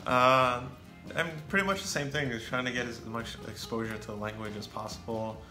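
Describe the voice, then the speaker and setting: A young American man chatting casually as co-host, relaxed and rambling with fillers. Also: mid-range voice, a little fast. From United States. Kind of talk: casual chat. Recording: echoey room with faint music.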